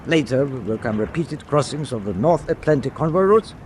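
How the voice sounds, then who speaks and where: An actor playing a grave, calculating German tanker captain in a wartime radio drama, giving orders in a measured, formal way. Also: male voice, mid-range voice, animated, a little hiss or hum, close to an everyday voice.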